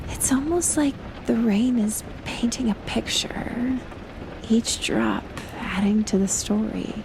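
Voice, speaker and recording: A woman playing a gentle, shyly affectionate best friend in an intimate audio roleplay, speaking softly and hesitantly with a breathy, near-whispered voice. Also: medium pitch, noisy background. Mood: tender, wistful. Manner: soft, hesitant, slow with long pauses. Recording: loud rain and thunder throughout. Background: rain and thunder effects.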